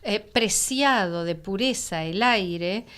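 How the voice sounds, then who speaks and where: Woman, mid-pitched, chatting casually. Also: lively intonation, slow, a bit bright. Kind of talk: casual conversation.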